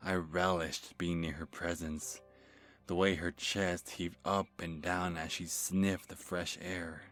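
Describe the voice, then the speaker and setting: A man playing a nervous, creepy lovestruck stalker telling a first-person horror story in an ASMR manner, halting and stammering as he corrects himself. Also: deep voice, little pitch movement, fairly bright tone. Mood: infatuated, dreamy. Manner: halting, stammering, breathy sighs and self-corrections. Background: music.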